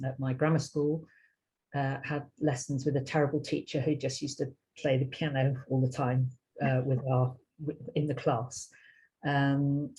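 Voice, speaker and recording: A middle-aged British woman telling her personal story as a guest in a panel conversation, halting and self-deprecating. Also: rumbling low, mellow, breathy edge, poor audio. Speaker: interview guest, violinist and researcher.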